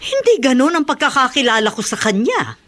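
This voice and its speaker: A woman's voice: sits high, very animated, fairly quick, fairly bright tone, faint music behind. An actress with a Filipino accent playing a trusting, understanding middle-aged mother in a radio drama. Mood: puzzled, defending.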